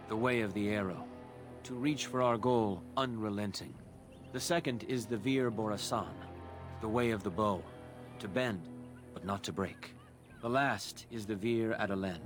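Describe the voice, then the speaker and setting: An actor playing a proud, kindly elven master craftsman in a fantasy game, speaking in a measured, formal storytelling way. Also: lowish voice, lightly acted. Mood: solemn. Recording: loud music behind the voice. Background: game music.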